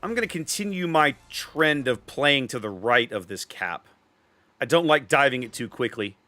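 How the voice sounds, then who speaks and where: Bright-voiced man live-streaming a game. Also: mid-range voice, expressive, moderate pace, no room sound. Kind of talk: casual live gameplay commentary and chat with viewers. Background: faint game music.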